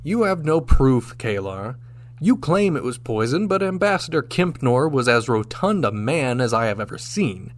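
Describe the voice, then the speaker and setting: A man's voice: medium pitch, sing-song, moderate pace, lightly acted. A man playing a confident, dashing starship captain, commanding and wry, in a sci-fi radio drama. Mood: wry, challenging.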